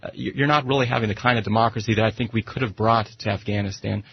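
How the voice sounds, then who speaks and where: A man's voice: fairly low pitch, fairly even intonation, fast talker, gravelly, slightly rough, muffled recording. An American man arguing politics as a guest on talk radio, speaking emphatically and repeating phrases to press his point. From United States.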